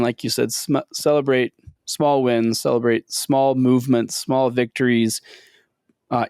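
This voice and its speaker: A man's voice: medium pitch, clean tone, dry recording, studio quality. A man co-hosting a business leadership podcast, talking casually and upbeat with lots of fillers. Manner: upbeat, filler-heavy promoting. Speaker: podcast co-host.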